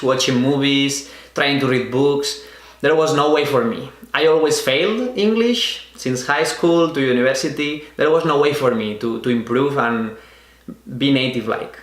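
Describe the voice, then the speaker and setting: Young spanish guy w/ an accent, telling his story, echoey room, soft music under it. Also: mid-range voice. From Spain. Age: 20s. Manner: earnest, unhurried storytelling with small restarts. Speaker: vlogger. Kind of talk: personal storytelling.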